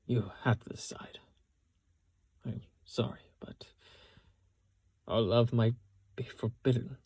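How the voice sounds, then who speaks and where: A man playing a loyal young knight in love with his princess, speaking gently and earnestly with halting pauses in a romantic audio roleplay. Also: medium pitch, breathy edge, dry recording. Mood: torn, sorrowful. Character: loyal young knight, the princess's tender childhood-friend lover. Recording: orchestral music behind the voice.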